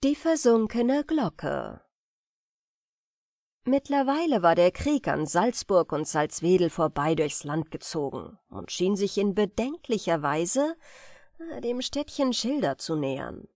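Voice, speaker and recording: A woman reading comic folk tales as an audiobook, telling them expressively with deliberate dramatic pauses. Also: medium pitch, very animated, a little mellow, dry recording, clean studio sound. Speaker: audiobook reader.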